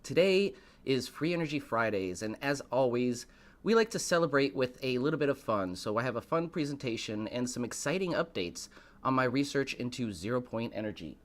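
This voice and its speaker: Slightly hoarse man hyping a live stream. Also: mid-range voice, lively intonation, fairly quick. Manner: showy, dramatic build-ups addressed to viewers. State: a little hoarse. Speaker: host of a live stream show. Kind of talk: hyped live-stream monologue, greeting chat.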